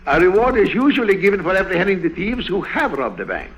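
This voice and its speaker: An old banker with a high, swooping voice, pompous and very pleased with himself. He is fussy and excitable, repeats himself, and sounds like a character from a 1950s radio play. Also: quick pace, slight background noise, full character voice, poor audio. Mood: self-pleased, sly. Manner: fussy, imperious, repeats his phrases. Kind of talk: 1950s radio drama acting.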